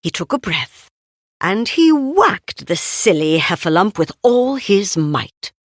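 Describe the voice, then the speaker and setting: Woman, storybook narrator, very lively pitch, slow deliberate delivery, dry close sound. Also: no echo. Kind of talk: scripted read-aloud children's story narration.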